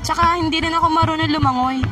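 Woman as timid, very high-voiced schoolgirl. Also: fairly even intonation, fast. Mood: frightened. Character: timid, sweet, anxious teenage schoolgirl. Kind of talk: radio drama acting. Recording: music and crowd noise behind.

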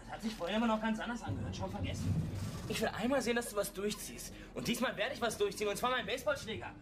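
A belligerent, scornful teenage boy in a radio play. The voice is high and swings widely in pitch. Loud music runs behind it. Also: expressive, very fast. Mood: aggressive, scornful. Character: aggressive teenage boy, bigoted small-town hothead. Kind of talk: German radio drama acting.

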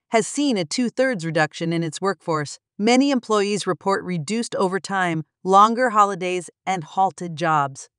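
A woman delivering scripted documentary narration in an even, explanatory newsreader manner, recorded close as a voice-over. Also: on the low side, clear, resonant tone, dry recording. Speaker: narrator of a news channel.

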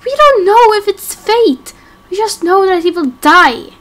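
A protective, defiant woman, played by a female voice actor in a game roleplay. Her voice is high and her manner earnest and accusing. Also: lively intonation, a little slow, fairly bright tone, exaggerated voice.

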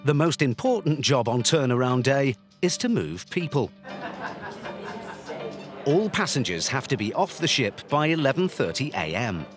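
A man's voice: mid-range voice, big pitch swings, normal speed. A man delivering scripted narration for a documentary. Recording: music under the voice. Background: background music.

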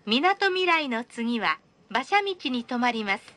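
Bright, high-voiced woman announcer. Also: very animated, normal speed. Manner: polite, formal, carefully enunciated. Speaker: train announcer. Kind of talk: recorded in-car train announcement, scripted.

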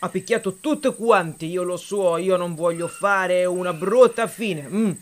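Cast a man as a big cowardly bear, high voice, slow, broken grammar. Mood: alarmed. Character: big timid male bear, clumsy and cowardly. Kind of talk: children's audio story acting.

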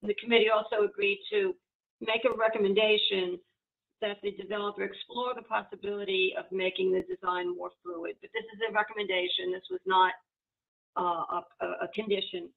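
A woman reporting a committee's findings at a public board meeting, pausing often with fillers and restarts. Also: mid-range voice, level tone, gravelly. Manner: halting, many fillers and restarts. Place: home, video call. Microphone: video call. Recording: tinny phone-like video call audio.

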